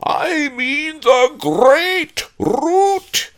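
Storybook spectre: wrathful, commanding, drawn-out high roar, Tibetan accent. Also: male voice, sing-song, a bit bright, full character voice. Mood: menacing, roaring. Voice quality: hollow, haunted, booming, drawn-out wailing. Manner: slow, menacing, commanding. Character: ghastly wrathful Tibetan spirit, patron of ginseng.

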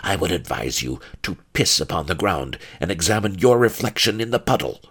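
Skittish henchman played by a man, audiobook: hissing sarcasm, husky, very changeable pitch. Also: big pitch swings, fairly quick, fairly bright tone, full character voice. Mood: sneering. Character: sneering, jumpy male grave-digging henchman.

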